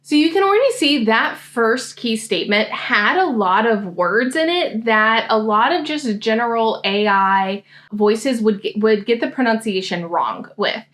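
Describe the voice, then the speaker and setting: Woman, slightly high voice, explains software step by step for a tutorial. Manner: friendly, explanatory screen-demo talk. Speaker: science grad school coach.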